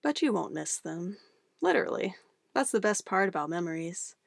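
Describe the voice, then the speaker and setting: A woman playing a sweetly menacing, possessive witch in an ASMR roleplay, cooing and coaxing condescendingly in a soft voice. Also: on the low side, sing-song, normal speed, gravelly, no echo, studio quality, heavily acted. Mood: smug, calmly menacing. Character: possessive young-sounding witch, sweetly menacing, doting on her familiar.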